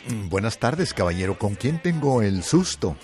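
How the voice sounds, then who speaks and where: A man's voice: fairly low pitch, sing-song, faint music behind. A man hosting a radio call-in show, joking and playful, stretching out words for effect. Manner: playful, joking, drawn-out shouts. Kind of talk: lively radio call-in chat. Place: Los Angeles studio.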